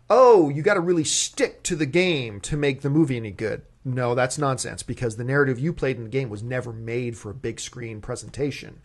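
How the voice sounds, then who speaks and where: A man's voice: medium pitch, husky. A man hosting a movie talk show, giving opinions in casual, emphatic speech and repeating words for stress.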